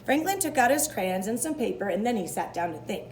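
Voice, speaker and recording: A woman reading a children's story aloud in an expressive style. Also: medium pitch, a little fast, slight background noise. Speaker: storybook reader.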